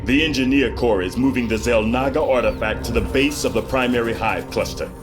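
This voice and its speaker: An actor playing a stern, honourable veteran general in a video game, speaking in a measured, formal military way. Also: male voice, over music, natural voice. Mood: businesslike. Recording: clear noisy ambient rumble behind.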